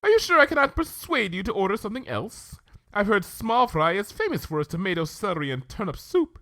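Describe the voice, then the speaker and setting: A man's voice: high-pitched, very animated, fairly quick, sharp tone, dry recording, pitched above the performer's own voice, full character voice. A man voicing a refined, dramatic female cartoon unicorn in a story reading, with a theatrical, affected and formal manner. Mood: haughty.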